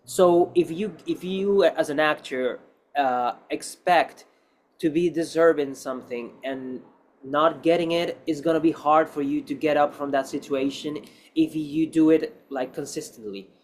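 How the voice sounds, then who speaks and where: A man with a fairly high voice talks casually as an actor being interviewed. His English has non-native phrasing. He rambles through his advice, repeating key phrases with emphasis. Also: fairly even intonation, a little slow, slight background noise.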